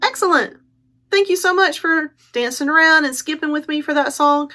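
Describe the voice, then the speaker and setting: High-pitched woman hosting a children's storytime. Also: moderate pace, loud music behind the voice. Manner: addresses young children directly with simple, encouraging invitations. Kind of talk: children's storytime presenting and read-aloud.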